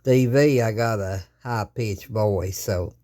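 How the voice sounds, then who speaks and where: Folksy man in an unhurried, rambling demo of his radio, filmed on a phone at his home desk. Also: fairly low pitch, dark tone. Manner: rambling, folksy, plain-spoken with many restarts. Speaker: ham radio operator. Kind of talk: casual show-and-tell of radio gear.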